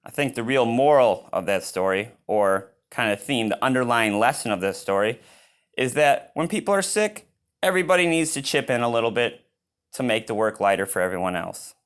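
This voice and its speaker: A man reading a picture book aloud as host, expressive and given to chatty reactions to the story. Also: mid-range voice, brisk, clean tone. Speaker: read-aloud reader and channel host.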